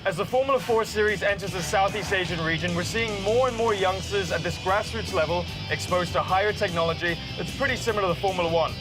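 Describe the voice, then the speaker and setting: Man, high-pitched voice, explanatory presenting, music in background. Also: quick pace, few pauses, poor audio. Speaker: presenter explaining race car technology.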